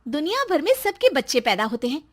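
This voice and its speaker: A woman's voice: high-pitched, very animated, fairly quick. A woman playing an impatient young wife who scolds and mocks in a comic story. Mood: irritated, nagging. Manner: scolding, mocking, exasperated. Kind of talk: acted dialogue in a Hindi comic story.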